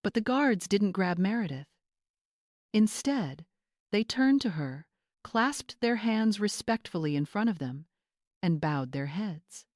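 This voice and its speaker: A woman narrator reading a scripted dramatic story in a measured, suspenseful manner. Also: mid-range voice, expressive, darkish tone, no echo, studio quality.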